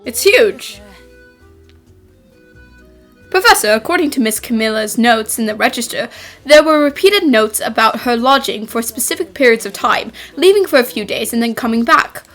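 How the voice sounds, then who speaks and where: A woman voicing an earnest, polite young boy, an inquisitive apprentice, in an animated fan dub. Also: fairly high pitch, moderate pace, soft background music.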